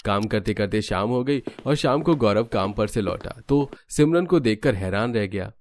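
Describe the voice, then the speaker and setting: A man's voice: fairly low pitch, expressive, moderate pace, slight background noise. A man narrating a scripted cartoon family story as a storyteller.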